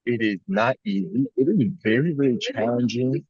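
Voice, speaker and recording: A man's voice: mid-range voice, lively intonation, normal speed, vocal fry, a little mellow, breathy edge, no echo, lo-fi sound. A young man co-hosting a podcast, talking in a casual, rambling and reflective way with frequent "like" fillers. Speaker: podcast co-host.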